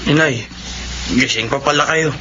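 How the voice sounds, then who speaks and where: An actor playing a bitter, resentful and defiant young son in a Filipino radio drama. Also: male voice, mid-range voice, normal speed, lightly acted. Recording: dull, slightly noisy radio drama audio.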